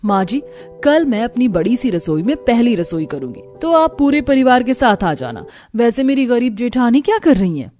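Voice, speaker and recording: A woman's voice: fairly high pitch, big pitch swings, normal speed, dark tone, faint music behind. A woman playing a haughty, spoiled rich young daughter-in-law in a cartoon story, boastful and condescending.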